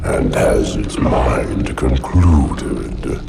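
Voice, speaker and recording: A colossal ancient monster with an extremely deep, gravel-choked growl. It pronounces in grand, ominous riddles, very slowly, as a game villain would, over loud cinematic music. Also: male voice, animated, roomy sound, full character voice. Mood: ominous, commanding. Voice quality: deep guttural growling rumble. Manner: slow, rhetorical, oracular pronouncements. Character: giant ancient parasitic hive-mind monster, grandiose and ominous. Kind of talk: video game cutscene acting.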